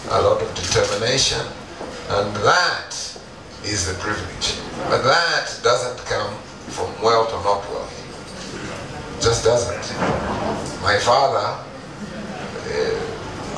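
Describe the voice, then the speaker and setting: A middle-aged man, an entrepreneur, giving an earnest, emphatic stage talk with pauses and restarts on a headset mic. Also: mid-range voice. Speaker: entrepreneur guest speaker. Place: event stage with audience. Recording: echoey room, distant, background noise.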